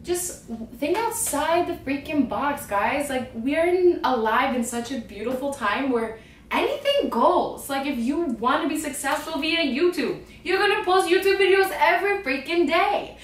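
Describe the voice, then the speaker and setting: Raspy, breathless woman vlogging with energy. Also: high voice, measured pace. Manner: energetic, slangy, lots of "like". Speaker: vlogger and trapeze instructor. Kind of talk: casual motivational vlog. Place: her home. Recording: echoey room, distant mic, faint noise.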